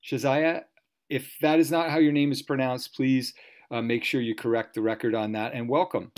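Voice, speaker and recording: A man's voice: mid-range voice, steady tone, moderate pace. A man hosting a live event casually over a video call, with a self-deprecating manner. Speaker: MC of a virtual story slam.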